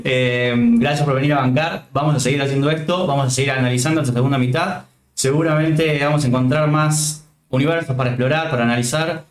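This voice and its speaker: A man from Catamarca with an Argentine Spanish accent, hosting a relaxed stream chat from a home set, thankful and joking. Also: mid-range voice, a little fast, reverberant. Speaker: stream host.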